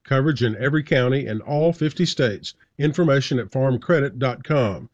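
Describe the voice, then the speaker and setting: A man's voice: mid-range voice, fairly quick, dry recording, highs cut off. A man hosting a farm radio program, reading sponsor messages in a scripted announcing style from a studio. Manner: reads sponsor messages and sayings in an even studio voice. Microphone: studio microphone. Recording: dry studio sound with faint music.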